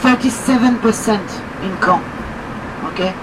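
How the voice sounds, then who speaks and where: A woman's voice: fairly high pitch, measured pace. A woman from Normandy with a French accent, giving a chatty guided tour and stopping to explain terms. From Normandy, France. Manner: chatty, explains terms, asks listeners to check they follow. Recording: distant voice with noisy vehicle background.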